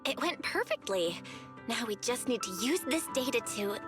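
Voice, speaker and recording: An actress playing a capable, friendly young technical specialist in a video game, brisk and clear-headed. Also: female voice, a little high, very animated, over music. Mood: satisfied.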